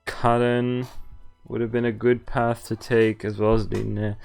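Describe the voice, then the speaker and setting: A man reads a visual novel aloud on a stream, his voice sitting at an ordinary middle pitch. He stumbles over words and drops casual asides between lines of text. When the script calls for pain, he turns it into loud, exaggerated yelps. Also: flat delivery, a little slow, creaky, clean tone, soft background music.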